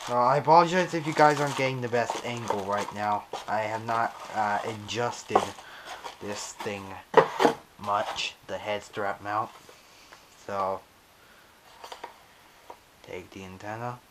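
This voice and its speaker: A man casually narrating a hands-on unboxing video in halting, pieced-together phrases, recorded on an action camera. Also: lowish voice, steady tone, heavy background noise. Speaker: vlogger unboxing a weather radio. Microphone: action camera on head strap, later monopod.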